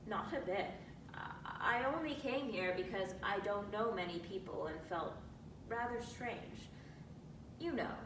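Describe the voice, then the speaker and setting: A woman's voice: lively intonation, a little slow, close to an everyday voice. A woman voicing a shy, courteous, pleasant teenage boy in a dramatic reading of a classic novel. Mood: pleasant, bashful. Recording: roomy, distant mic with rumbling noise.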